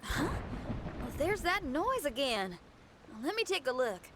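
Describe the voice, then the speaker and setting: A woman's voice: high voice, big pitch swings, unhurried, heavy background noise. A woman voicing a spirited, loyal young rock musician in a video game, with a folksy Southern American accent. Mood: earnest, candid. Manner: folksy, drawling turns of phrase. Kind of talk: animated game character acting.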